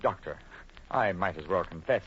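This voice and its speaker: A man's voice: deep voice, expressive, moderate pace. A man acting an uneasy, secretive French expatriate in old radio horror, speaking formally and dramatically, faltering when pressed. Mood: evasive, nervous. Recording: muffled old radio broadcast, faint hiss.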